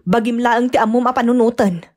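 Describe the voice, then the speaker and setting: A woman's voice: a little high, fairly even intonation, a little fast, a little mellow, close to an everyday voice. A woman playing a loving, long-suffering mother and wife in an Ilocano audio drama, earnest and pleading, with an Ilocano accent. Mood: reproachful. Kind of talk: Ilocano radio-style drama acting.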